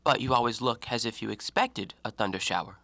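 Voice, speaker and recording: A man playing a talkative, educated and self-righteous gentleman antiquarian in an audio drama, given to theatrical, argumentative speeches. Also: mid-range voice, brisk, no echo. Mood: restless, probing. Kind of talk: stage-play acting in an audio drama.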